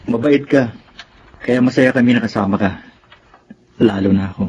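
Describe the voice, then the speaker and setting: A man's voice: mid-range voice, unhurried, a little mellow, natural voice. An actor playing a friendly, protective young man in a Filipino radio drama. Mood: fond, protective. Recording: dull, noisy radio recording.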